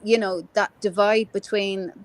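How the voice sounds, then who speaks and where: A woman's voice: medium pitch, fairly even intonation, measured pace. A woman in her fifties in a casual political chat, impassioned and earthy, swearing freely as she talks. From Ireland. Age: 50s.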